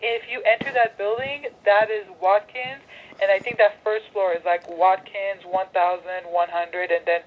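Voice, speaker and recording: A woman's voice: medium pitch, fairly even intonation, moderate pace. A woman on a speakerphone call patiently giving directions, searching for words as she explains. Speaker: university phone operator giving directions. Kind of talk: unscripted phone directions. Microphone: telephone heard over speakerphone. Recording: tinny noisy phone call through speakerphone.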